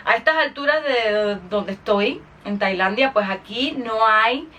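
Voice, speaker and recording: A woman's voice: fairly high pitch, unhurried, bright, forceful tone, recorded from a distance. A woman with a Cuban accent hosting her podcast in a chatty, informal monologue to her followers. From Cuba. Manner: chatty, informal, with English interjections. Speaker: podcast host and singer.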